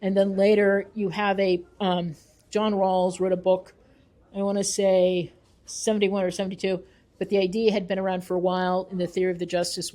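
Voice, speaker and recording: A woman's voice: lowish voice, mellow. A woman giving thoughtful interview answers as a researcher and author, explaining her views in an earnest, emphatic way.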